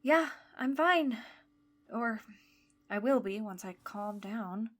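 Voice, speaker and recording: An indignant schoolgirl, higher voice. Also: animated, slow pace. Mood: rattled. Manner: plaintive, protesting. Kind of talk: scripted audio drama dialogue.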